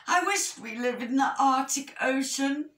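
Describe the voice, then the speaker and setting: A woman's voice: sits high, lively intonation, a little slow, fairly bright tone, voice pushed up. A woman voicing a fussing, complaining medium-sized bear in a storybook. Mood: whining. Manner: fussy. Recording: roomy echo, distant microphone.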